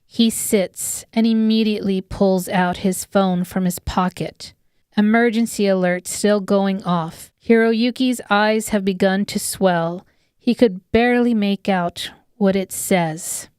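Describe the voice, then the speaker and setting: A woman reading scripted audiobook narration in a deliberate, descriptive storytelling manner. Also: medium pitch, steady tone, darkish tone, smooth, no room sound, clean studio sound. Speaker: author and narrator.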